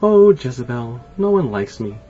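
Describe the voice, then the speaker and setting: Guy doing a unlucky superstitious storybook lady, higher pitched n swoopy, kinda lo-fi, music behind. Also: normal speed, slightly rough. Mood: sad. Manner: expressive storybook character voice. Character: superstitious, hapless woman plagued by sneezes. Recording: dull lo-fi sound.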